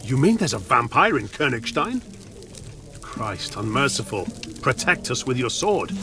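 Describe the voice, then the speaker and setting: An actor playing a humble, helpful innkeeper in a medieval video game, speaking deferentially. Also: male voice, mid-range voice, big pitch swings, measured pace, over music. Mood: fearful.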